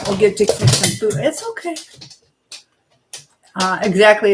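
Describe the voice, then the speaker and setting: A woman chatting casually to livestream viewers while she cooks at home, distracted and hopping between tasks and comments. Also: mid-range voice. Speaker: live streamer. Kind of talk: casual chat with live viewers while cooking. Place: home kitchen. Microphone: phone livestream, moving nearer and farther. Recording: echoey kitchen with faint background noise.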